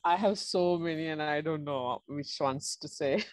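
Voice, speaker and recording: A middle-aged person reading short verses in an unhurried way through an earphone mic on a video call. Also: mid-range voice, darkish tone, a little hiss or hum. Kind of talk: unhurried poetry recital. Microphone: wired earphones on a video call.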